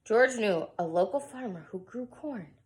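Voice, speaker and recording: A woman's voice: medium pitch, lively intonation, measured pace. A woman reading a picture book aloud to young children, expressively and with casual asides. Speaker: preschool storyteller.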